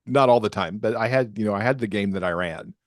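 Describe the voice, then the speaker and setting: A man's voice: fairly low pitch, fast talker, dry recording, studio quality. A man speaking as a podcast guest and author in casual conversation. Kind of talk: casual chat.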